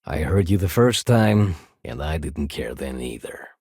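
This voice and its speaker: An actor playing a fussy, haughty luxury shopkeeper in a sci-fi video game, with a refined, expressive and snippy delivery. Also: male voice, very deep voice, unhurried, husky, no room sound, clean studio sound, heavily acted. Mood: irritated, snippy. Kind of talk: video game voice acting, short standalone dialogue lines.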